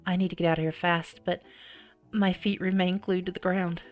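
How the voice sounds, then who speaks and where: A woman narrating in first person as a flustered, anxious high schooler in a visual novel. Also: flat delivery, normal speed, soft background music, close to an everyday voice. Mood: tense.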